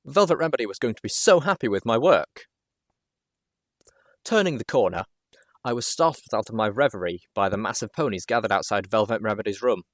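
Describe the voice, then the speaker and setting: A man narrating a first-person story with expressive, dramatic delivery. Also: fairly low pitch, no room sound, studio quality. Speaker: audiobook reader, show host.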